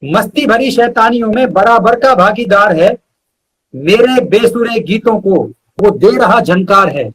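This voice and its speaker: A man's voice: high-pitched, expressive, unhurried, fairly bright tone, breathy edge, poor audio. A man reciting poetry as a guest poet, repeating lines for emphasis, with short asides to listeners.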